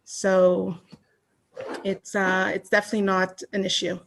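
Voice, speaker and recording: A woman's voice: mid-range voice, monotone, measured pace, vocal fry, slight background noise. A middle-aged Canadian woman chatting as an interview guest, rambling with many restarts and fillers. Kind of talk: casual online chat.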